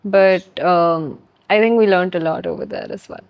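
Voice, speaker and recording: A young woman with an Indian accent explains things casually. Her voice sits a little low for a woman, and the sound is close and dry with hardly any room on it. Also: moderate pace, vocal fry, no echo, muffled recording. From Delhi, India. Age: young adult. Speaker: design student explaining a craft. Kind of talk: casual explanatory talk to camera and conversation.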